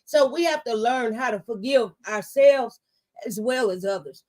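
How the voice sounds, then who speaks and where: A woman's voice: a little high, moderate pace, a little mellow, poor audio. A middle-aged woman giving a motivational faith testimony, speaking in an emphatic, exhorting way and repeating phrases for effect. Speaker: talk show panelist giving testimony.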